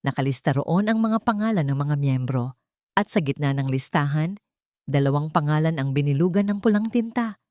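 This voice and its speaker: A woman's voice: low voice, expressive, normal speed, darkish tone, dry recording, studio quality. A woman with a Filipino accent narrating a scripted dramatic story.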